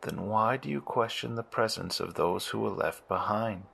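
A man's voice: lowish voice, normal speed, vocal fry, a bit bright, breathy edge, heavily acted. An actor playing a pious, wary cult zealot in an audio drama, solemn and formal in his phrasing. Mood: solemn, superior.

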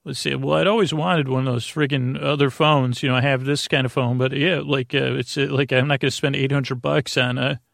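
Creaky-voiced man rambling casually. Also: medium pitch, fairly even intonation, a bit bright, no echo, studio quality. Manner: meandering and halting, trails off into tangents. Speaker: podcast host. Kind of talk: rambling, casual bedtime monologue.

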